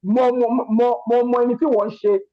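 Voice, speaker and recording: A Nigerian man with a Yoruba accent and a notably high-pitched voice. He speaks earnestly, as if giving a testimony to a group, stressing points by repeating words. The sound is dull and lo-fi, like an online call. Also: flat delivery, normal speed, a crowd in the background. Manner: emphatic, repeats words and phrases. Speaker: participant in an online masterclass giving feedback.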